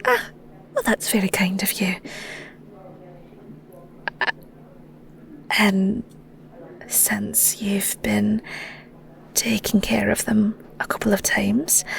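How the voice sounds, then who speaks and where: A woman with a Scottish accent playing a shy, flustered young werewolf shepherd in an intimate audio roleplay, stammering awkwardly in a soft, breathy voice. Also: medium pitch, heavily acted. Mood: gently curious. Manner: stammering, giggly, awkward, hesitant.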